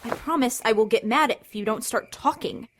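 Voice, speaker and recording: A woman's voice: a little high, moderate pace, a bit bright, slight background noise, close to an everyday voice. A woman playing an angry, hurt, domineering teenage girl in an audio drama. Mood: angry, demanding. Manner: bullying, hot-tempered, demanding.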